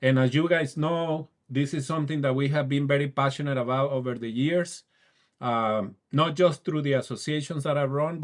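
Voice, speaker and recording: A man hosting a business podcast, welcoming a guest in a friendly, eager way and adding short affirmations. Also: mid-range voice. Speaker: podcast host. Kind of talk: podcast interview.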